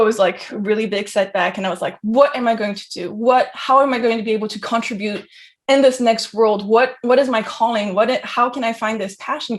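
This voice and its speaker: A young woman from Geneva, Switzerland, giving an informal autobiographical talk in an effusive, conversational manner peppered with filler phrases. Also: mid-range voice. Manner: conversational, effusive, frequent "like" and "you know". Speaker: guest speaker, crypto entrepreneur.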